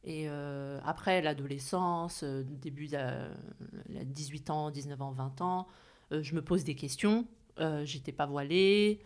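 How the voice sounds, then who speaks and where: A woman in her thirties from France, talking as a podcast guest, often pausing with fillers. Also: low voice, darkish tone. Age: 30s. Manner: hesitant, many "euh" fillers, laughs easily. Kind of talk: casual interview chat.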